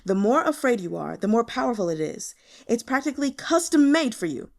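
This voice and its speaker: An irate male boss in an audiobook, acted out by a woman, scolding and sarcastic. He is exasperated and sharp-tongued, with lively swings in his delivery. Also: on the high side, a little fast, creaky. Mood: exasperated, angry. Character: furious, foul-mouthed, exasperated male director boss.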